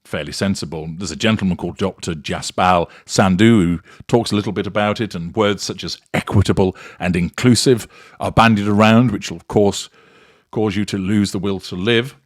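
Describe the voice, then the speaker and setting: A man's voice: sits low, moderate pace, dry recording, studio quality. A man hosting a commentary show, delivering scripted sarcastic remarks in a mocking, drily theatrical manner.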